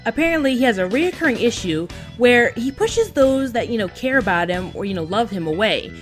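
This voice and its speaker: Gal doing chatty episode commentary, casual n giggly, music pretty loud behind her. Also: a little high, animated, brisk. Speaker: co-host of a fan recap.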